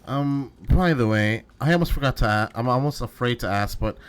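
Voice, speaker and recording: A man's voice: medium pitch, brisk, clean tone, natural voice. A man voicing an earnest, uneasy teenage boy investigator in a game, hesitant and questioning. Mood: tentative. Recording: music behind the voice.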